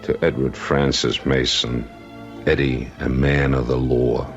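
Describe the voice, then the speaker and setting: A man's voice: extremely low pitch, level tone, measured pace, faint music behind. A man playing a kindly, weary middle-aged patrolman in a radio drama, plain-spoken and earnest. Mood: mild, touched. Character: weary, kindly veteran patrolman nearing retirement, male. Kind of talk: old-time radio drama acting. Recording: muffled vintage radio drama recording.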